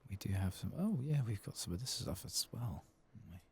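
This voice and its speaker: A soft-spoken man hosting an ASMR game playthrough, hushed and unhurried, sometimes slipping into whispers. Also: low voice, very animated, breathy edge. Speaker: ASMR gaming host.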